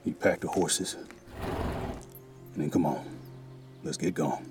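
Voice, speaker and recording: An actor playing a stern, righteous, weary cavalry first sergeant who quotes scripture in grave frontier phrasing in a Western audio drama. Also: male voice, extremely low pitch, slow, over music.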